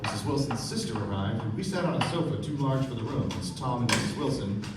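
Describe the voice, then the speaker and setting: A man's voice: mid-range voice, lively intonation, lightly acted. Actor as wry young guy narrating a radio play, mid voice, distant, noisy theater. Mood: wry, storytelling. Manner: measured, storytelling. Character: wry, observant young male narrator recalling parties. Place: theatre stage before an audience. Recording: echoey, noisy stage recording from far off.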